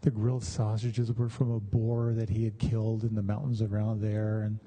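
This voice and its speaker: Older-ish guy doing a meditation talk, super soft dark voice, bit of fry, pauses a lot. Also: fairly low pitch, completely flat delivery. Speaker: meditation teacher giving a talk. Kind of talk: conversational dharma talk with personal stories.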